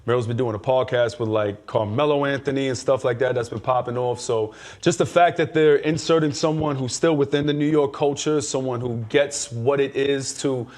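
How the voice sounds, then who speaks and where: A man with a medium-pitched voice speaks as a panelist on a culture news show. He is casual and opinionated, using slang as he lays out the background in detail. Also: steady tone, moderate pace, hardly any pauses, a little mellow. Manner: conversational, slangy, explains in detail.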